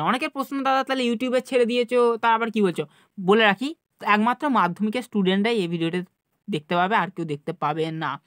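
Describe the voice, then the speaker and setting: A woman's voice: medium pitch, dry recording, clean studio sound. A woman with a West Bengal Bengali accent co-presenting in casual chat to students, emphatic and often answering her own questions. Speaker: YouTube co-presenter.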